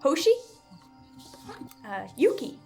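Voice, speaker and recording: A woman playing a tabletop roleplaying game, chatting casually in an expressive, exclamatory way. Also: high voice, slow, gravelly. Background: faint background music.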